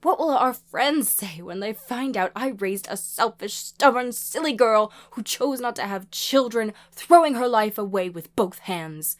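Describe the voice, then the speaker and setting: A woman narrator as a distraught mother, bitter, demanding, pleading, wailing, raised pitch, audio drama. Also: animated, a little slow, few pauses. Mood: tearful begging. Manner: wailing, begging, insistent.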